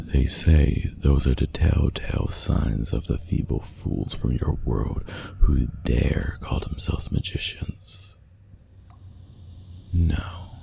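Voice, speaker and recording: A man acts out a possessive, imperious lord of a hidden city for a fantasy ASMR roleplay. His voice is dark and heavily creaky, dropping into a half-whisper with archaic, measured formality. There is faint noise behind him. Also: extremely low pitch, full character voice. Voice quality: gravelly, hushed, half-whispered. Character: stern, possessive masked ruler of an underground city.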